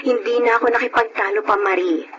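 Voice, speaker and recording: High-voiced, long-suffering young Filipino wife acted by a woman. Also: fast talker, background noise, natural voice. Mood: weary, distressed. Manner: plaintive, emotional, respectful to elders. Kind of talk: Filipino radio drama acting.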